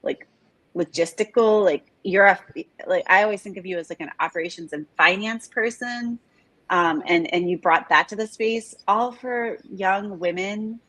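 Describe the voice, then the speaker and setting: A woman hosting a live online interview in a chatty way, full of "like" and "um", her voice a little raspy from talking all morning. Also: medium pitch, normal speed, pushed and bright, no room sound, rough recording. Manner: chatty, filler-heavy, says "like" often. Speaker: host of live interview series. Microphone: video call.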